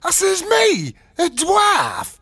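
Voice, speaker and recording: A man playing a cocky Yorkshire film actor and self-styled hard man, telling a boastful anecdote in a blunt, indignant comic monologue, husky and gravelly. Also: high-pitched, wildly swooping pitch, measured pace, bright, forceful tone, no room sound, studio quality, heavily acted. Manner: blunt, indignant storytelling with quoted back-and-forth.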